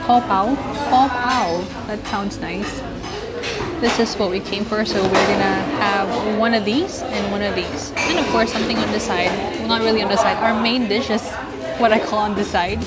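Chatty young woman vlogging over loud music. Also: medium pitch, fairly even intonation, moderate pace, over a noisy crowd. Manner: chatty, upbeat, tastes food on camera. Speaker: food vlogger host. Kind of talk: casual food review vlog. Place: small cafe indoors and street outdoors. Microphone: lapel mic.

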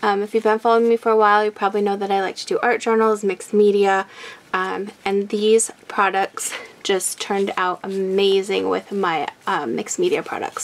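A woman hosting a casual craft unboxing, chatty and excitable, her voice raspy from a recent illness. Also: medium pitch. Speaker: craft channel host. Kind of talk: casual product unboxing chat.

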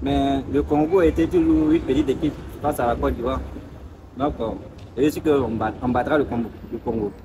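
A man's voice: medium pitch, fairly even intonation, moderate pace, music in the background, low-quality recording. A man, answering casually in a street interview. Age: 20s. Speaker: interviewed passer-by. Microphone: handheld microphone. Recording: street recording with faint traffic noise.

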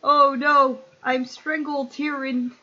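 A woman reads a visual novel aloud as a let's play host, dropping in casual remarks. Her voice sits fairly high and her manner is playful and expressive. The close-mic sound is dry and a little dull. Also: takes it slowly, no echo. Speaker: gaming video host. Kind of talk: let's play, reading game text aloud with casual asides.

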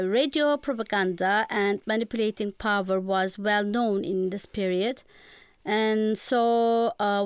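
A woman's voice: medium pitch, clean tone, no echo. A woman with a Turkish accent, giving formal, academic, prepared answers as a guest expert. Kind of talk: prepared lecture-like radio interview.

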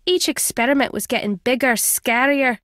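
A woman's voice: sits high, moderate pace, a bit bright, no echo. An actress playing a wry, guarded older woman with a British accent, a former spy in a video game, dry and fond in manner. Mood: guarded, serious. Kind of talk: video game character dialogue.

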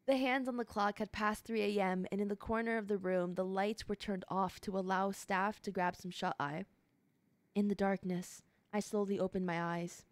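A woman's voice: medium pitch, steady tone, normal speed, no room sound. A woman streaming casually, reading a visual novel aloud and reacting with blunt, sweary exclamations. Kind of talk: casual co-op stream chat and read-aloud narration. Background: soft game music.

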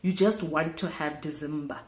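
A woman's voice: sits low, fairly even intonation, normal speed, a little mellow. A woman in her thirties from South Africa, chatting casually to camera in a small room and repeating words for emphasis. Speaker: vlogger. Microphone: camera close in front. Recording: echoey room.

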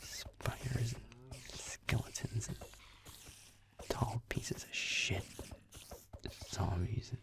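A man playing a grumpy, foul-mouthed young werewolf boyfriend, muttering sullenly in a breathy, gravelly whisper in an intimate roleplay audio. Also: medium pitch, extremely slow, pauses often. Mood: irritated muttering. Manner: sullen muttering, swearing, sarcastic.

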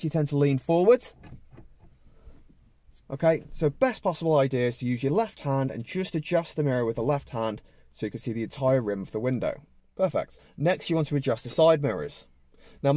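A man's voice: on the high side, warm tone, no room sound. A man in his thirties, a driving instructor, patiently explaining step by step from the driver's seat of a parked car. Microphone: camera on the dashboard.

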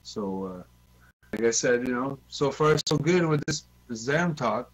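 A man's voice: medium pitch, animated, a little slow, rough recording. A man, easygoing and repetitive, chatting casually in an online voice chat. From Ontario, Canada. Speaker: CB radio hobbyist.

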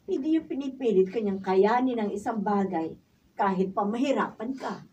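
A woman's voice: medium pitch, animated, moderate pace, dark tone, muffled recording, lightly acted. A woman with a Filipino accent playing a sensible, understanding middle-aged neighbour who gives frank advice in a radio drama. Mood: earnest advising. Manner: frank, advising. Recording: distant camera mic, faint noise.

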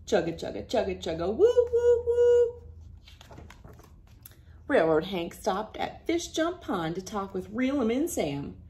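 A woman in her thirties reading a picture book aloud to children in a playful, expressive way. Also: medium pitch, a little slow. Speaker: children's read-aloud host.

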